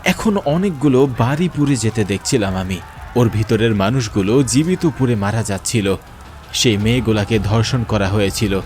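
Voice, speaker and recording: A man's voice: on the low side, animated, moderate pace, a little mellow, soft background music. A man narrating a scripted first-person horror story in a grave, dramatic way.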